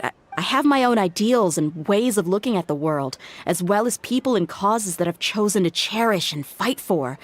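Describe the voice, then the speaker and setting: A woman playing a tough, principled young female mercenary in a video game, speaking in an earnest, firm way. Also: lowish voice. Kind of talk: video game character acting. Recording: music behind the voice.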